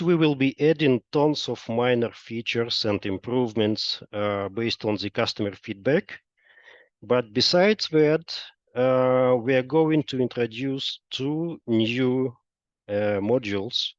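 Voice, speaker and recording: Male webinar presenter, unhurried and halting, explanatory delivery, slightly dull lo-fi sound. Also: medium pitch. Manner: halting, explanatory, frequent pauses and hesitations. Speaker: software company presenter. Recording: dull lo-fi roomy online webinar audio.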